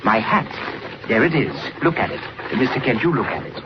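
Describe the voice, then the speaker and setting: Actor as fussy, pompous old gentleman, crackly radio. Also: medium pitch, very animated, fairly quick, natural voice. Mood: fussy, indignant. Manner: effusive, pompous, indignant. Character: excitable, fussy old photographer and amateur detective. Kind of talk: 1940s radio acting. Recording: noisy, dull vintage radio recording.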